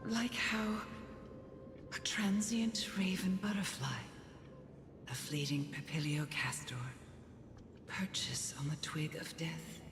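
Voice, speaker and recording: Solemn mystic, very low and whispery, poetic, drenched in long reverb. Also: female voice, fairly even intonation, noisy background. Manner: hushed, slow, poetic. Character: solemn, mystical woman bestowing a name. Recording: distant, long echoing reverb.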